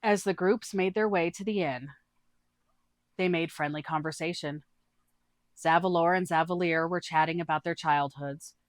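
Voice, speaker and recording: A woman's voice: lowish voice, moderate pace, dry recording, clean studio sound. A woman storyteller reading a scripted fantasy adventure tale as narration.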